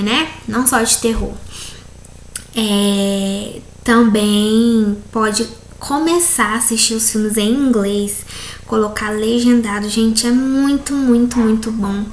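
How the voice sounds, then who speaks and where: Brazilian english teacher lady, bit high voice, talks slow, echoey room w/ faint noise. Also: fairly bright tone. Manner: reads English questions slowly, explains in Portuguese with frequent "né?". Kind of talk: recorded class correcting exercises.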